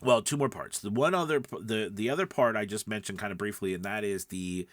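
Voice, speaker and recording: A man's voice: fairly low pitch, brisk, slight background noise. A man giving casual instructional talk as a voiceover coach, conversational and emphatic, often checking in with the listener. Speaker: voiceover coach and podcast host.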